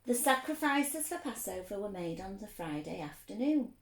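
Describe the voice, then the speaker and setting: A woman reading a story aloud for children, expressive, explaining things simply as a teacher would. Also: mid-range voice, normal speed, roomy sound, slight background noise.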